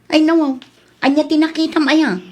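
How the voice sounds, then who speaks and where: Woman playing a sly, high-voiced cheeky wife. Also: fairly even intonation, normal speed, darkish tone, lightly acted. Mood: feigned innocence. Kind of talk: Ilocano radio comedy drama acting.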